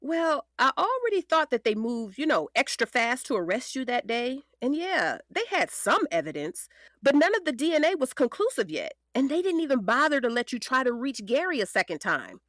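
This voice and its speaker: Sharp lawyer lady in a radio play, high lively voice, sarcastic but caring. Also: normal speed, no echo, clean studio sound. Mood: pensive. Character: sharp, determined female defense attorney, sarcastic yet caring. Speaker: actor in audio drama. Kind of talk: audio drama acting.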